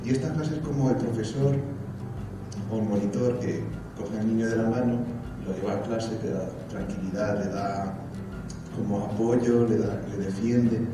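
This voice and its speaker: A man's voice: on the low side, fairly even intonation, moderate pace. A man student speaking animatedly and emphatically in an interview. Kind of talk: testimonial interview. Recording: echoey room from far, noisy.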